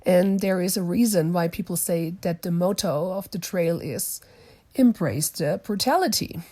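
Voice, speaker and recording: A hoarse woman hosting a casual podcast interview, chatty and laughing often, whose first language is German. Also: lowish voice, moderate pace, dark tone. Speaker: podcast host. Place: lodging in a small mountain town.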